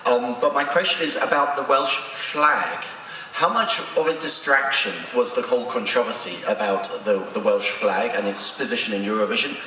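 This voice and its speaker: A man's voice: mid-range voice, moderate pace, bright, forceful tone. A male journalist putting a precise, formal question to the panel. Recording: very echoey hall from far off, faint noise.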